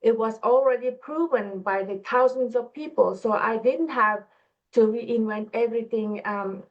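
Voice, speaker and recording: A woman's voice: on the high side, moderate pace. A woman with a non-native English accent giving a personal testimonial as a panelist, on a lo-fi online call. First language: not English. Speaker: talk show panelist, online business owner.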